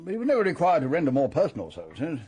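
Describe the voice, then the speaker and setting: Mellow, courteous English gentleman. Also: a little high, huge pitch swings, very fast, husky, heavily acted. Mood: formal, courteous. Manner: formal, slightly hesitant. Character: courteous, proper English country-house gentleman host. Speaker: actor in a radio drama. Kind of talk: radio mystery drama acting.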